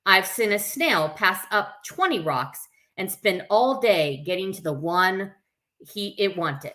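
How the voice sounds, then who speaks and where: A woman's voice: mid-range voice, expressive, takes it slowly, a bit bright. A woman hosting a story time, reading a picture book aloud expressively with informal, chatty asides to her listeners. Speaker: camp staff story time host.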